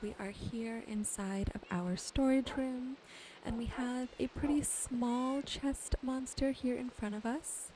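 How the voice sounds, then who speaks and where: A woman gently narrating her gameplay as a sleep ASMR host, soothing and unhurried. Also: fairly high pitch, steady tone, noisy background. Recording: music behind the voice. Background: soft music, game rain and animal sounds.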